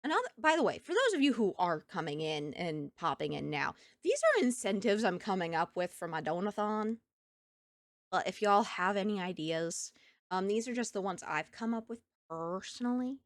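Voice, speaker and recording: A woman streamer chatting casually with her live chat, telling stories in an animated way and laughing often. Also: mid-range voice, moderate pace, no room sound, studio quality. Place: home streaming setup.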